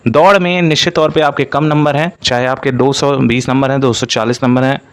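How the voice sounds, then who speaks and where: A man's voice: medium pitch, fairly even intonation, brisk, clean tone. A man speaks as an exam-coaching presenter, explaining an update to candidates and leaning on repeated stock phrases.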